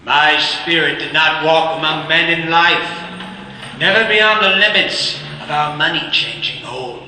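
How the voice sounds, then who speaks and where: An actor voicing the solemn ghost of an old businessman in a radio play, speaking in a measured, grave way. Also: on the high side, pushed and bright. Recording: echoey hall with faint hiss.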